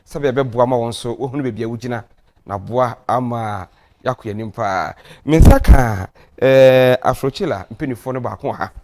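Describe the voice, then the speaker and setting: A male talk show host with a Ghanaian accent speaks in a mid-range voice. His interview style is casual and conversational, with short exclamations dropped in as he goes. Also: mid-range voice.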